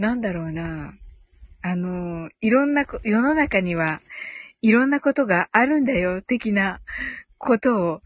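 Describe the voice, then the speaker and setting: A woman's voice: fairly high pitch, dry recording. A woman hosting a casual live audio show, chatting with listeners in a giggly way and thinking aloud as she goes.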